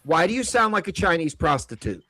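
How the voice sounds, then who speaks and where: High, swinging man taunting a rival. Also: sing-song, a little fast, sharp tone. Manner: taunting, profane, repeats his questions. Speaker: panelist. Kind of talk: heated online debate.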